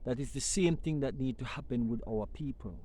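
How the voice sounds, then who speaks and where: Male host, mellow low-bright voice, impassioned preachy delivery, slight background hiss. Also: brisk. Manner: preaching, repetitive, building emphasis. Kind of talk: impassioned community talk.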